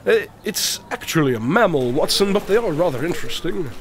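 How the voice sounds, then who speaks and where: A man's voice: high-pitched, expressive, fairly quick, a little hiss or hum. A man playing an eccentric, observant detective in an audio drama, speaking in a precise, formal, absent-minded way. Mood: composed.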